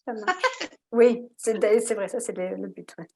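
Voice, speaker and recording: A woman's voice: medium pitch, fairly even intonation, unhurried, creaky, a crowd in the background. A woman hosting an interview, explaining her ideas in a thoughtful way with some hesitations. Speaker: host. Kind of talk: casual interview.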